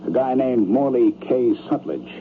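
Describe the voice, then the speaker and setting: An actor playing a blunt, uneasy rich man in an old-time radio drama, with halting, defensive, streetwise speech. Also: mid-range voice, animated, lightly acted. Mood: earnest confiding. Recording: tinny, noisy old radio broadcast.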